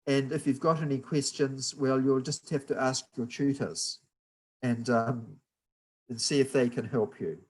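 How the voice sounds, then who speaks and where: A man with a New Zealand accent giving a guest lecture on building structures, explaining slowly and patiently over a video call. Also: medium pitch, breathy edge, low-quality recording. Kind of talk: university lecture, slow and deliberate.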